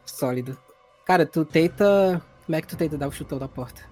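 Higher-pitched Brazilian man as game master. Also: brisk, darkish tone, soft background music. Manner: colloquial, explanatory. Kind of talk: narrating a tabletop role-playing game.

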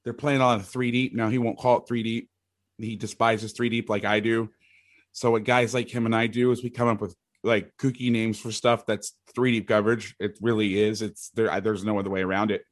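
A middle-aged man, a football coach with a loud voice, talking through defensive schemes in a rambling, casual way, often restarting his sentences. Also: on the low side, level tone, brisk, no room sound, studio quality. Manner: rambling, restarts sentences, occasional mild swearing. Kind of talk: casual podcast chat, explaining defensive schemes.

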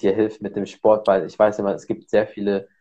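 A man's voice: on the low side, monotone, brisk, mellow, lo-fi sound. A man hosting a casual podcast who explains exercises at length with many fillers. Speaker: podcast host.

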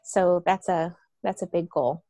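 A woman's voice: lowish voice, fairly even intonation, a little slow, warm tone, no echo. A woman from Colombia with an American accent, a guest telling her life story in an earnest, open way. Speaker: guest, vitality coach and author. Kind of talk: casual interview storytelling.

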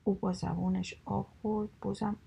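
Husky whispering woman reading fables. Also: mid-range voice. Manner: soft, steady whisper. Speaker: storyteller.

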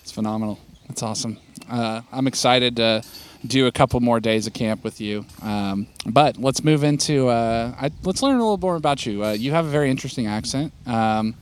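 A man's voice: medium pitch, brisk, few pauses. A man hosting a casual podcast interview outdoors, chatty and joking with his guest. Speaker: podcast host, pastor. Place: outdoors in nature.

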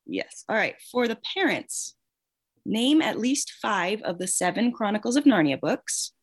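A woman's voice: mid-range voice, big pitch swings, a little slow, husky. A woman hosting a quiz as guest quizmaster in a casual, encouraging way.

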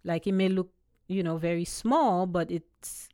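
A woman's voice: on the low side, a little slow, mellow, no echo, clean studio sound. A woman from Haiti with a Haitian and Caribbean island accent, a realtor chatting in an interview, laughing often and repeating words as she thinks. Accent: Haitian, with U.S. Virgin Islands Caribbean touches. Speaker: realtor guest. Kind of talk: casual interview chat.

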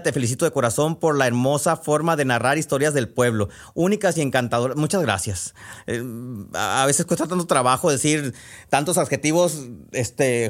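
A man with a Sonoran Mexican accent hosting a podcast, chatting casually in a folksy, halting way with asides as he reads out messages. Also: medium pitch. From Sonora, northwest Mexico. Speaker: podcast host and storyteller. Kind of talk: casual chat, reading out listener messages.

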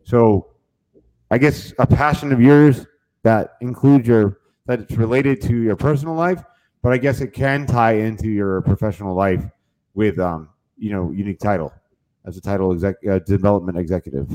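A man hosts a podcast on real estate, upbeat and rambling, with many "uh"s. His voice sits fairly low. He makes promos and asks questions in a loose, friendly flow. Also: normal speed, darkish tone, low-quality recording. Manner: upbeat and rambling, heavy on fillers. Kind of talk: podcast interview hosting.

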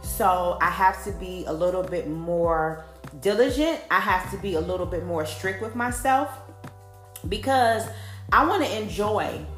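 A woman's voice: medium pitch, fairly bright tone, echoey room. Blunt, profane woman in her forties. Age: 40s. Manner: blunt, profane, conversational, says "like" a lot. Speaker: podcast host. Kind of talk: candid personal monologue.

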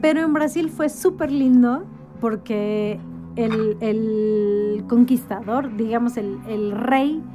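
A woman's voice: on the high side, slow, over music. A woman talking as a guest in a casual conversation, explaining things like an informal storyteller and often checking for agreement. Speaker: interview guest.

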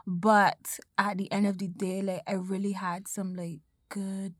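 A woman's voice: mid-range voice, flat delivery, measured pace, breathy edge, no echo, clean studio sound. A woman in her twenties co-hosting a podcast, chatting in a laid-back, slangy way and teasing her co-host. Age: 20s. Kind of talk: casual podcast chat.

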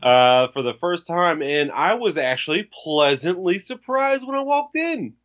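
A man co-hosting a casual gaming podcast, animated and teasing, swearing freely. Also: fairly high pitch, unhurried, lo-fi sound. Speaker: podcast co-host. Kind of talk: casual chat.

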